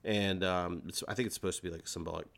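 Low-pitched guy on a podcast, animatedly explaining story stuff to his friends, casual fan chat. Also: fairly even intonation, quick pace, vocal fry, fairly bright tone. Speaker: podcast host.

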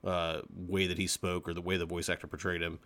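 A man co-hosting a podcast, chatting casually about an audio drama in an animated, self-correcting way. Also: sits low, fairly quick. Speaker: podcast co-host.

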